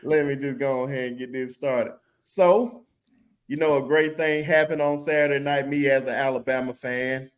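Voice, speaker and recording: A man hosting a casual sports podcast, talking with excited, rising bursts. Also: medium pitch, moderate pace. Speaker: podcast host.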